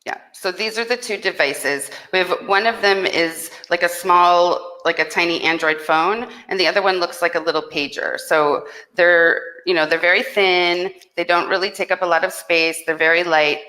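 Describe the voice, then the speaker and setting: A woman hosting a live Q&A and informally explaining a product, with a slightly halting delivery. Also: on the low side, pushed and bright, low-quality recording. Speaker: host and company representative.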